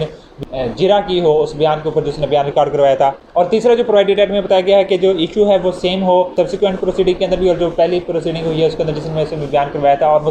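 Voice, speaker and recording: A man giving a legal lecture. He reads English legal clauses slowly, then explains them casually with everyday examples. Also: fairly high pitch. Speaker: law lecturer. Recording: audible background noise.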